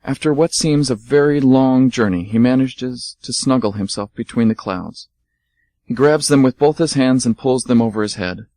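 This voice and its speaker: Man, radio drama narrator, calm even storytelling, mid pitch, dry close sound. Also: normal speed, dry recording.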